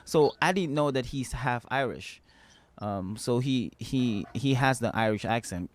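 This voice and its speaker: A man co-hosting a casual movie review chat, animated and laughing often, with many fillers. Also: medium pitch, unhurried. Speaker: podcast co-host.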